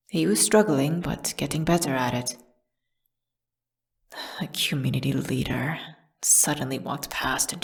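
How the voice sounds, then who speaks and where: A woman playing a condemned witch who solemnly recounts her tragic story in a hushed, breathy, measured ASMR audio drama style. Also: deep voice.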